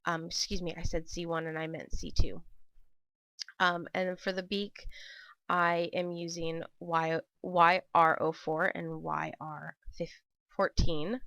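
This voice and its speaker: A woman's voice: fairly low pitch, flat delivery, slow pace, gravelly, fairly bright tone, no echo. A woman hosting a casual craft tutorial voice-over, her throat scratchy and dry from a cold, chatty and rambling with many ums and self-corrections. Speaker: papercraft channel host. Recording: dull dry home voice-over.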